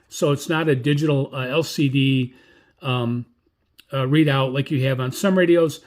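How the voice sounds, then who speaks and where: Male product reviewer, slightly high voice, conversational and rambling, casual hands-on delivery. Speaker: radio reviewer.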